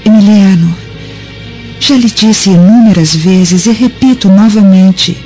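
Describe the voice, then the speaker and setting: Woman acting weary young roman wife, mellow dark voice, dramatic formal lines, old-style radio play, muffled. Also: medium pitch, measured pace, natural voice. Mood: strained pleading. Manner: formal, melodramatic. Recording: dull lo-fi audio with music behind.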